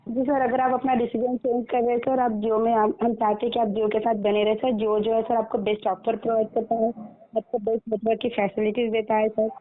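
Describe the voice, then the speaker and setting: A woman's voice: fairly high pitch, level tone, brisk, dry recording. A woman with an Indian accent working as a customer care agent on the phone, polite, formal and repetitive. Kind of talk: scripted customer service phone talk. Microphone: phone line. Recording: tinny phone line with faint noise.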